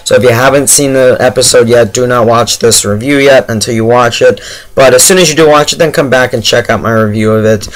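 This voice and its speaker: A man with a hoarse, tired voice giving a casual, chatty, somewhat halting TV episode review straight to his viewers. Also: mid-range voice, poor audio. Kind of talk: casual spoken review to camera.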